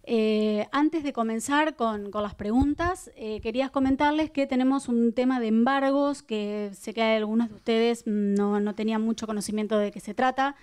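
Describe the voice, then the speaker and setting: A woman's voice: a little high, normal speed, few pauses, darkish tone, clear, resonant tone. A woman, a film distribution coordinator explaining press rules politely, with many fillers, into a handheld mic. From Argentina. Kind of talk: press conference announcements. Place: cinema stage at a press conference table.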